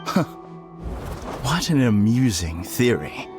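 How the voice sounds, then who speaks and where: An actor playing a smug, grandiose, self-deluded villain in an anime video game, pompous, theatrical and condescending. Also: male voice, mid-range voice, very animated, takes it slowly, over music. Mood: amused disdain.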